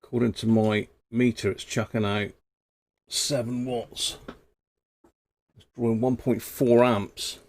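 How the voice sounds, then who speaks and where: A man's voice: medium pitch, fairly even intonation, slow, long pauses, a little mellow. A man hosting a live stream, muttering to himself as he repairs an old radio. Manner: muttering to himself while tinkering. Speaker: radio hobbyist host.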